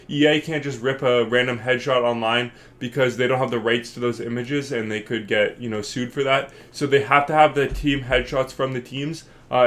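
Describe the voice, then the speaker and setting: A man with a stuffed-up, congested voice talks through his video game predictions in a loose, rambling way. He fills his speech with ums and uhs and thinks out loud. His pitch sits right in the middle for a man. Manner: rambling, filler-heavy, thinking aloud. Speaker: gaming channel host. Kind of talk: casual video game commentary.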